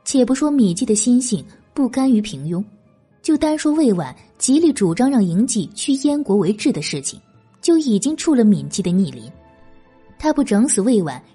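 Mellow, husky woman narrating a novel. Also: on the high side, big pitch swings, normal speed, soft background music. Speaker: audiobook narrator. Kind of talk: scripted dramatic novel narration.

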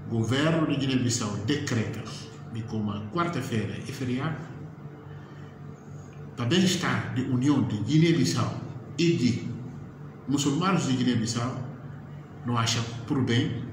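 Formal man in an echoey room. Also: mid-range voice. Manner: measured, formal, slow and emphatic, opens with Arabic blessings. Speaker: spokesman of a moon-sighting commission. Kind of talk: press conference statement. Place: yellow-walled meeting room, indoors. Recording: echoey room with background noise.

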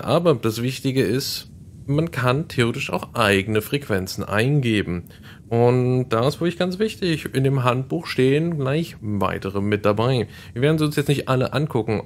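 A man's voice: mid-range voice, slight background noise. A man hosting a Let's Play, chatting casually and explaining the game as he plays. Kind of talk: casual gameplay commentary.

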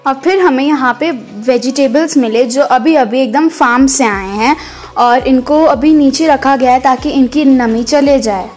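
High-voiced woman narrating a vlog. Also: measured pace, a little mellow. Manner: chatty, mixes in English words. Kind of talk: casual vlog voice-over. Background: background music.

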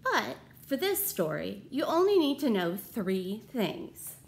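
A woman in her thirties reading a picture book aloud to children, with deliberate theatrical emphasis and questions to her listeners. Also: on the high side, sing-song, gravelly. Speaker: read-aloud storyteller. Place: small room at home.

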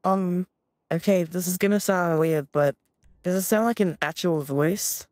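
A woman streaming a casual game role-play, talking slowly and deliberately through a voice changer. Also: fairly low pitch, a little mellow, no echo, studio quality. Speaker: streamer role-playing a restaurant customer.

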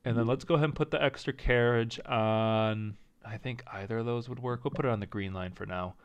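A man casually commenting as he plays a strategy game, thinking aloud and second-guessing his choices, with a slight rasp and an occasional cough. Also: mid-range voice, fairly quick. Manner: thinking aloud, indecisive, self-talking. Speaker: gaming channel host. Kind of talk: casual let's-play commentary.

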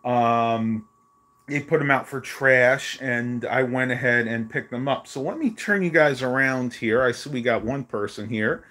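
Hoarse man rambling on a phone stream. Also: mid-range voice, a little slow, pushed and bright. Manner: rambling, many ums and uhs. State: losing his voice. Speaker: vlogger. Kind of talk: casual live stream chat. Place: small bedroom at home, indoors. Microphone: phone held at arm's length.

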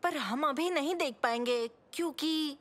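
A woman's voice: high-pitched, unhurried. A woman voicing a principled, sensible twelve-year-old boy with a firm, reasoning manner in a cartoon dub.

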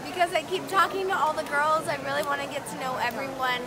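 A woman's voice: sits high, fairly even intonation, quick pace. A woman in her twenties, a pageant contestant, greeting fans in a bubbly, gushing way while she is losing her voice. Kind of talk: casual backstage greeting to camera. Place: crowded hotel ballroom backstage. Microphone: handheld camera close up. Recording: loud crowd noise behind.

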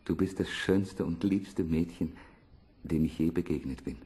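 An actor playing an earnest, ardent young prince in a fairy-tale audio drama, declaiming formally. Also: male voice, sits low, measured pace, mellow, husky, exaggerated voice. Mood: tender, ardent.

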